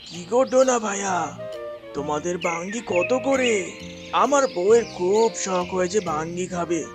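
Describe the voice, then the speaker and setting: Man voicing a high-pitched, humble crow husband. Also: a little slow, over music. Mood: wheedling. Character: humble crow husband, haggling and devoted to wife. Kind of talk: children's cartoon character voice.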